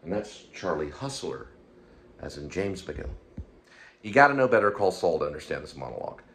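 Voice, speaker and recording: Really deep voiced guy rambling to his viewers, halting and emphatic, bit of background noise. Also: sing-song, a bit bright. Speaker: show host. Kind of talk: rambling casual monologue.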